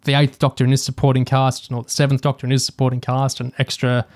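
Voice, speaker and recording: A man's voice: medium pitch, monotone, quick pace, a little mellow, no room sound, clean studio sound. A man co-hosting a podcast, talking casually and analytically through a review, hesitantly thinking aloud as he goes. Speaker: podcast co-host.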